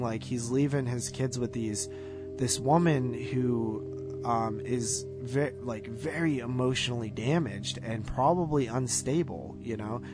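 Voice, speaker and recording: A man co-hosting a movie podcast, reviewing films casually in a halting way, thinking aloud and qualifying as he goes. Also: medium pitch. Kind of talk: casual film review chat.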